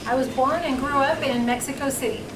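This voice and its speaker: A woman's voice: high voice, fairly even intonation, a little fast. A woman interpreting into English, speaking evenly and carefully. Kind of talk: consecutive interpretation. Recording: echoey distant hall recording with noise.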